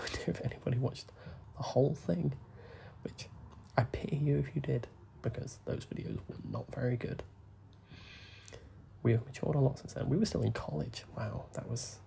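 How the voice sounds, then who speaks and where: Young man, hushed rambling gameplay chat, dark gravelly voice. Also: mid-range voice, slight background noise. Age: young adult. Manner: soft, deliberately hushed talk with frequent fillers. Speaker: gaming YouTuber.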